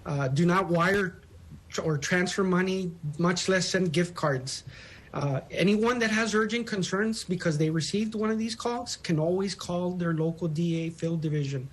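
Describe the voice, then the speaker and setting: A man's voice: high voice, normal speed. A man speaking as a public information officer, explaining things as a guest in a news interview. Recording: phone interview.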